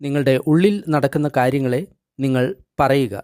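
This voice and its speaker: A man guiding a meditation, reading instructions gently and deliberately in short phrases with pauses. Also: medium pitch, steady tone, dry recording, clean studio sound. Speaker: meditation guide. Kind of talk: guided meditation narration, translated text read aloud.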